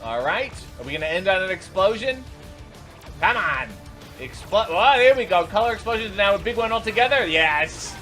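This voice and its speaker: A man's voice: high voice, lively intonation, normal speed, sharp tone, soft background music. A man hosting a reaction video, giving unscripted running commentary in an exasperated, sarcastic, incredulous way.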